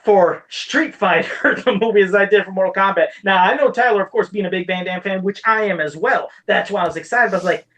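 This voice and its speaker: A man's voice: high-pitched, a little fast, few pauses, a bit bright. A man co-hosting a podcast, talking casually as an opinionated fan who swears freely and rants a little. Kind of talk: casual chat about movies.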